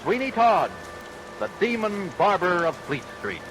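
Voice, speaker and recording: A man's voice: high voice, very animated, unhurried, background noise. A man giving a formal, dramatic scripted announcement as a vintage radio drama announcer. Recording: old radio broadcast over music and rain.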